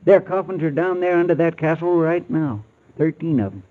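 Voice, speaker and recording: An actor playing a wary, superstitious country roadside proprietor, folksy and foreboding, in 1940s radio drama style. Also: male voice, on the high side, expressive, moderate pace, natural voice. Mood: ominous. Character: ominous, superstitious rural roadside proprietor, wary local man. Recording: noisy, narrowband old radio recording.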